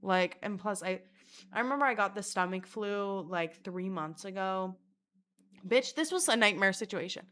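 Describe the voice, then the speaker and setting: A woman telling a rambling, profane story in a casual vlog, mocking herself and breaking into loud laughter. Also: medium pitch, moderate pace, slight background noise. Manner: self-mocking and animated, bursts of loud laughter. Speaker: vlogger.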